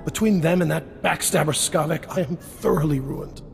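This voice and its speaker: A man voicing a suave, wry, shady dealer down on his luck in a video game, with a theatrical, smooth-talking manner. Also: a little high, normal speed. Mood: wry, bitter. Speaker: game character actor. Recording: long reverb, music behind. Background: game music.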